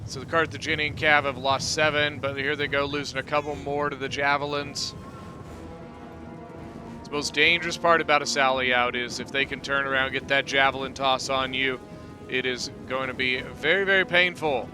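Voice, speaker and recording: A man hosting his gaming channel, giving relaxed, chatty commentary on a battle replay, peppered with "you know" and "uh". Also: mid-range voice, normal speed, music in the background.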